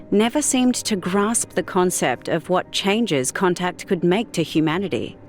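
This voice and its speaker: A woman narrates an audiobook story at a very unhurried pace. Her voice sits a little low, and her delivery is measured and deliberate. Faint music plays behind her. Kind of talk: scripted fiction narration.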